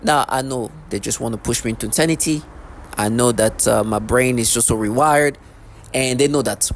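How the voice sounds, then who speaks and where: A man's voice: mid-range voice, moderate pace. 30s guy vlogging, keeps repeating himself, worked up, bit of car noise in the back. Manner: rambling, repetitive, agitated. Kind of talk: unscripted monologue to camera.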